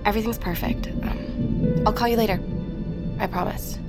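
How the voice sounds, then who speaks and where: An actress playing Hannah, a composed, weary but dutiful assistant, in naturalistic audio drama style. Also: female voice, mid-range voice, fairly even intonation, moderate pace, vocal fry, over loud music, natural voice. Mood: tense, guarded.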